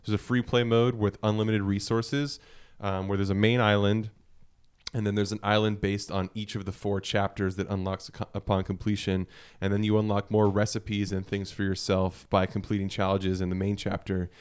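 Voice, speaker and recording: A man in casual conversation about video games as a podcast panelist, explaining things in a halting, thinking-aloud way. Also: on the low side, level tone, clean tone.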